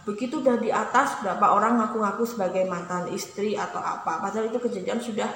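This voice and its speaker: A woman's voice: medium pitch, fast talker, fairly bright tone. A woman vlogger talking casually to her viewers, rambling with many fillers and restarts. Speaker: YouTuber. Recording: echoey room with long reverb, noisy.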